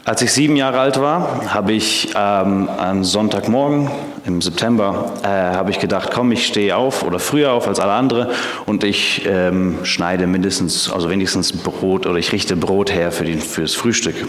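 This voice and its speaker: A man's voice: low voice, normal speed. A man in his twenties, raised in Canada with English as his first language, preaching a sermon in German with many hesitations. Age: 20s. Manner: hesitant, frequent filler sounds. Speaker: theology student preaching. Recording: echoey hall.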